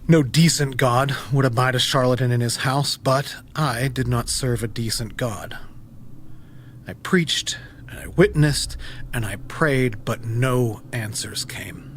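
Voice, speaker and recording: A man's voice: medium pitch, a little slow, close to an everyday voice. Male, actor playing haunted ex-preacher turned monster hunter, brooding audio-drama monologue, mid-range voice, some background noise. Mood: bitter, resigned. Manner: brooding, intense, dramatic delivery.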